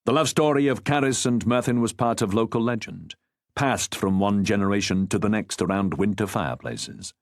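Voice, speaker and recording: Male audiobook narrator, fairly low voice, measured formal delivery, historical fiction, close dry sound. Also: dry recording. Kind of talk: scripted narration of a historical novel.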